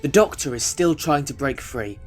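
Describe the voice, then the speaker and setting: A man's voice: mid-range voice, animated, normal speed, soft background music. A man reading scripted narration for an audio drama.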